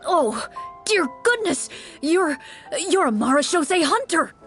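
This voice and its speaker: An earnest, anxious townsperson with a high voice, pleading for help in a fantasy game. The delivery is flustered and dramatic, with music playing behind it. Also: female voice, very animated, a little slow, fairly bright tone, heavily acted. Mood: flustered surprise. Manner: flustered, hesitant, exclamatory.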